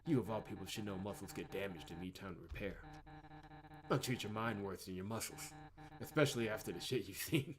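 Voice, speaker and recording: A man's voice: on the low side, a little fast, crowd noise, lightly acted. Visual novel role: cocky, blunt young fighter woman, read by a man, mocking and teasing. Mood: blunt, coaxing. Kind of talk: visual novel character reading.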